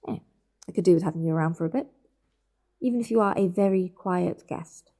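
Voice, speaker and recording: A woman's voice: fairly low pitch, brisk, warm tone. A woman playing a protective, bossy older sister in a naturalistic audio drama, firm and insistent.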